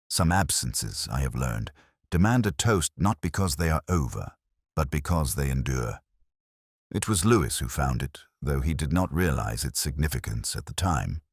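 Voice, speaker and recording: A man narrating a scripted first-person detective story in a measured, brooding, literary manner. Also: extremely low pitch, husky, no echo, studio quality.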